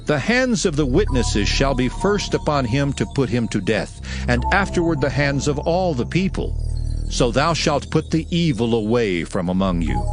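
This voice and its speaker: An actor voicing a solemn prophet proclaiming ancient law in a declamatory, emphatic dramatized scripture reading. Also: male voice, medium pitch. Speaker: scripture narrator.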